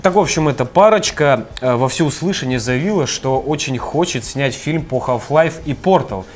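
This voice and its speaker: A man's voice: mid-range voice, lively intonation, moderate pace. Young guy with a cold, nasal and stuffy, presenting news casually on a lapel mic. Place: small studio.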